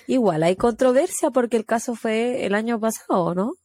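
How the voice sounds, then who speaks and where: A woman's voice: fairly high pitch, moderate pace, mellow, no echo. A woman co-hosting a podcast, chiming in with reflective comments in casual chat. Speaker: podcast co-host.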